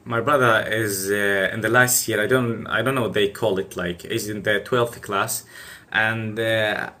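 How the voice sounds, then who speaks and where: A young man whose first language is Arabic, hosting a casual conversation and speaking hesitantly with many pauses. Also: fairly low pitch, fairly even intonation, sharp tone. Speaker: podcast host.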